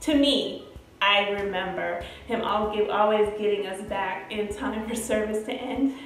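A woman's voice: medium pitch, measured pace, a little hiss or hum. A woman in her thirties telling personal stories casually to camera, in a chatty, rambling way. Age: 30s. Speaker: vlogger. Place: bare-walled room indoors. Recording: very echoey room, music behind. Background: background music.

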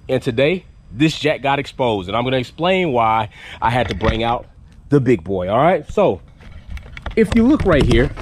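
A man in his thirties talks bluntly and animatedly as he reviews gear in a suburban driveway, swearing casually along the way. His voice sits a bit higher than most men's. He holds the camera at arm's length, with a faint outdoor hum behind him. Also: darkish tone. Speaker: car channel host. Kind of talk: casual product review vlog. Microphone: handheld camera held at arm's length.

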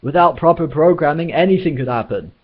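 Guy doing a loud bossy space captain, manic, dull lo-fi sound. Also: fairly high pitch, expressive, a little fast, breathy edge, pitched above the performer's own voice. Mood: brash. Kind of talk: audiobook reading.

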